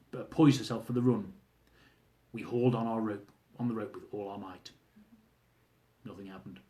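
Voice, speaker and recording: Man reading his memoir aloud, mellow tone. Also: mid-range voice. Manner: wry, humorous storytelling, with asides to listeners. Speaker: author reading his own book. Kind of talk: audiobook-style reading of a travel memoir.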